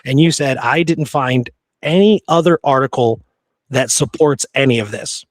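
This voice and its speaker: Male history podcast host, mid-pitched, informal and emphatic, conversational delivery, close dry sound. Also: normal speed, no room sound. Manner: informal, emphatic, seeks agreement with "right?". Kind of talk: casual history discussion.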